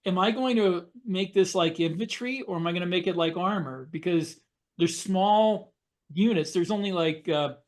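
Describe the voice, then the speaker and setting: Male American podcast co-host, slightly high register, casual conversational delivery. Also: moderate pace. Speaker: podcast co-host, military science fiction author. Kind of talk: casual discussion.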